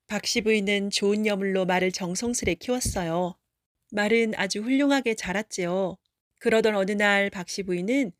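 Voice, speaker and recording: A woman's voice: medium pitch, no echo, clean studio sound. A woman narrating a children's folktale audiobook as a storyteller, with explanatory storybook phrasing.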